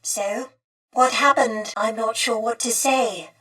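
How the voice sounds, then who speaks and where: A woman voicing a polite, warm, old-fashioned female droid in a video game, with prim, formal and earnest diction. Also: fairly high pitch, fairly even intonation, takes it slowly, husky, distant mic, heavily acted. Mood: gentle sympathy. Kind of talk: video game character acting.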